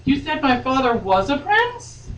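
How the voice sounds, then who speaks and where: Woman putting on a flustered, high-pitched young princess. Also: big pitch swings, measured pace, a bit bright, full character voice. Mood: stunned. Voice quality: squeaky when panicked. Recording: roomy, noisy, distant home microphone.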